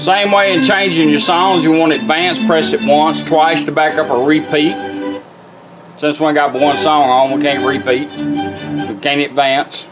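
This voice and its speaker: A man's voice: on the high side, flat delivery, measured pace, poor audio. A middle-aged man with a Southern American accent gives a casual, plain-spoken hands-on product demonstration, explaining it step by step. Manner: folksy, plain-spoken, instructional. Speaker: car radio builder demonstrating product. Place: indoor workbench. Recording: music playing behind the voice. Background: music and radio from demonstrated car stereo.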